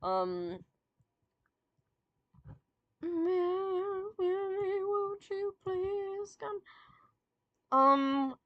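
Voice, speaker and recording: A woman giggling and rambling as she ranks songs, her voice slightly raspy and sore from talking. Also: very high voice, animated, drawn-out words, long pauses, dry recording, highs cut off. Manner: giggly, rambling, many fillers and restarts. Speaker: VTuber streamer. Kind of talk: casual fan commentary, ranking songs.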